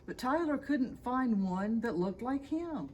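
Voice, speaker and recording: Female storybook narrator, slow pace, highly varied intonation, expressive read-aloud delivery. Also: a little hiss or hum. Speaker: storybook reader.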